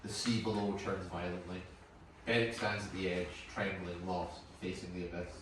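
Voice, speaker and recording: Male narrator for screenplay table read, deep register, distant and noisy recording. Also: little pitch movement, moderate pace. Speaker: narrator reading stage directions. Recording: distant echoey room, clear background noise.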